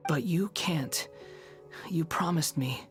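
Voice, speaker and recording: A woman voicing a guarded, melancholy young male wanderer in a video game, soft and subdued. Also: low voice, slow, soft background music. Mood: sorrowful. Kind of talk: English game voice acting.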